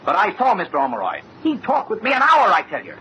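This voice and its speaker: An actor playing an earnest, agitated young fiancé in an old-time radio mystery, speaking with dramatic insistence. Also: male voice, high voice, huge pitch swings, fast, bright, forceful tone, full character voice. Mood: agitated, insistent. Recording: muffled, noisy old radio recording.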